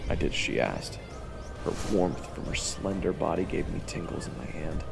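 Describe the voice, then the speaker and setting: A young hero, played by a man, narrates fantasy audio drama with wry, self-deprecating asides. His delivery is very animated with a creaky edge, and it rings with long reverb. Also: very deep voice, normal speed, music in the background, heavily acted. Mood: wry, flustered.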